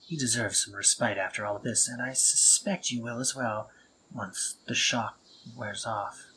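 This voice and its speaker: A man voicing a sardonic but tender spider-monster man, speaking in a soft, breathy half-whisper in an intimate audio roleplay. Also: mid-range voice, a little hiss or hum, heavily acted, low-quality recording. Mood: flustered, tender. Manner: gentle, dry and teasing, intimate.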